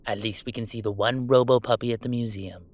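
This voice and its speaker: A man's voice: medium pitch, fairly even intonation, fairly quick, no room sound, lightly acted. A man playing a goofy young werewolf streamer in an intimate roleplay audio drama, talking in casual, mock-grandiose banter with small hesitations. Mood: teasing banter. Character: goofy young male werewolf streamer, playful, defiant about family. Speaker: game streamer.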